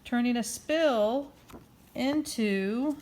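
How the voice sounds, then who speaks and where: A woman's voice: a little high, very slow, heavy background noise. A woman hosting a children's story time, reading aloud expressively and encouragingly, with questions for her listeners. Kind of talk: interactive picture-book read-aloud.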